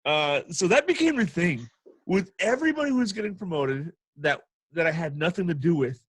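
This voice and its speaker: A man's voice: on the high side, brisk, breathy edge, dry recording. Man, casual storyteller, swooping intonation, jokey, dry close sound. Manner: animated, jokey, prone to laughing. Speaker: podcast host and military veteran telling stories.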